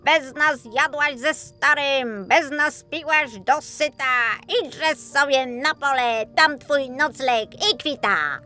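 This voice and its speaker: Shrill, crowing farmyard animals. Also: female voice, takes it slowly, few pauses, pushed and bright, soft background music, heavily acted. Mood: indignant, scolding. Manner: chanting in rhyme. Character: indignant chorus of hut's rooster, hen and cow.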